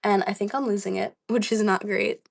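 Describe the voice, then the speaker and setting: A woman's voice: mid-range voice, steady tone, brisk, gravelly. Chatty young woman hosting a livestream. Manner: chatty, reads comments aloud, many ums and likes. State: dry, slightly worn voice. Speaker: livestream host. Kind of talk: casual livestream Q&A.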